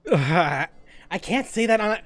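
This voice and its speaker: A man's voice: high voice, expressive, slow pace, fairly bright tone, dry recording. A man giving casual gameplay commentary as a streamer, in a joking, rambling manner. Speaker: gaming streamer.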